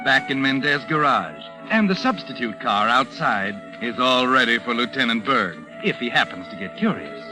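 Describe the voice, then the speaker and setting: A man's voice: mid-range voice, natural voice, poor audio. An actor playing a sly, mocking storyteller who needles the guilty man in a 1940s radio suspense drama. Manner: taunting, addresses the character as "you". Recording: old 1940s radio recording. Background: dramatic music.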